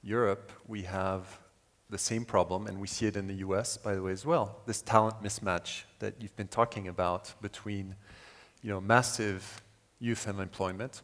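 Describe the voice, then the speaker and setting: A man from Switzerland speaking as a panelist, measured and in long qualified sentences, at a conference. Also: lowish voice, steady tone, fairly bright tone. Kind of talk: panel discussion. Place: conference hall. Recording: reverberant conference hall.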